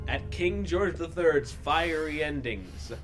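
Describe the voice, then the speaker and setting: A man narrates in a somewhat raised register, treating comic prose with mock-serious theatrical weight. Music plays clearly underneath his reading. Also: fairly high pitch, animated, slow pace. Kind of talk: dramatic comedic reading of fan fiction.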